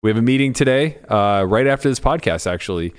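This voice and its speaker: A man's voice: mid-range voice, lively intonation, fast talker, smooth. A man hosting a casual poker podcast, bantering in a blunt, teasing, informal way with casual swearing. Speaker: podcast host.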